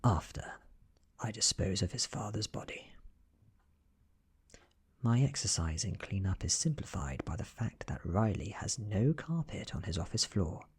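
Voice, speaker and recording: A British man reading a story in a soft-spoken, hushed and breathy ASMR style, measured and deliberate. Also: fairly low pitch, animated. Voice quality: breathy, whispery. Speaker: ASMR narrator reading an audiobook.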